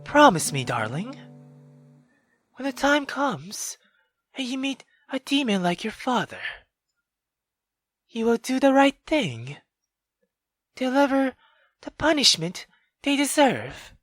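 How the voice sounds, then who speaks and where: A man voicing a cold, bitter mother who lectures her daughter with menacing, deliberate intensity in a visual novel reading. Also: very high voice, very animated, fairly bright tone, no room sound, clean studio sound, faint music behind, voice pushed up, exaggerated voice. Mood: commanding.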